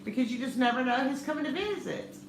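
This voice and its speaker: A middle-aged woman with a loud voice, chatting casually and teasing, laughing often. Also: high voice, lively intonation, fast, reverberant, background noise. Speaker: channel co-host. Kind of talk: casual chat.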